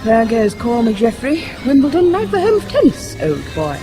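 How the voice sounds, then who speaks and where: An actress playing a playful, affectionate, teasing wife in a radio drama, with a chatty manner. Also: female voice, on the high side, huge pitch swings, normal speed, music in the background.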